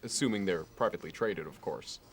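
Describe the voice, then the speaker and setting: Male actor for courteous, fastidious Manhattan executive in ASMR roleplay; lowish pitch, unhurried, formal; some noise on the recording. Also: natural voice. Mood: polite, businesslike. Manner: measured, halting, meticulously appraising, politely formal. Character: polished, courteous, obsessively appraising male Manhattan finance executive.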